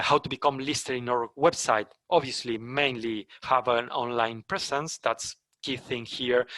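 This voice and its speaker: A man whose first language is Spanish, giving a sales training webinar in a halting way with many fillers and self-corrections. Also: medium pitch, pushed and bright, no room sound. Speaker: partner program trainer.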